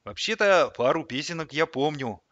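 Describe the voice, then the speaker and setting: A man's voice: a little high, expressive, moderate pace, a bit bright, no echo, highs cut off. A man voicing a hapless, whiny gangster henchman in an audiobook story, hesitant and given to wailing laments. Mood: bewildered, whiny.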